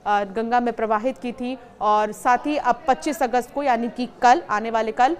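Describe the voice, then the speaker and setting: A woman's voice: a little high, fairly even intonation, moderate pace. A woman news presenter reporting in an emphatic, explanatory way, posing questions as she goes. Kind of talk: news reporting to camera, semi-scripted explainer.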